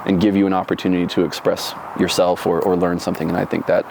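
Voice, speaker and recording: A man's voice: low voice, flat delivery, fast talker, gravelly, a little mellow, a little hiss or hum. A man from Florida in his thirties, telling his life story in an oral history interview, reflective and rambling with many fillers and restarts.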